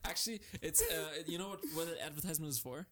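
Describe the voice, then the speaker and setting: A man's voice: a little high, fast, a crowd in the background. Co-host, male, German accent, giggly, repeats himself while thinking. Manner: laughs often, repeats words while searching for them. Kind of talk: casual podcast chat.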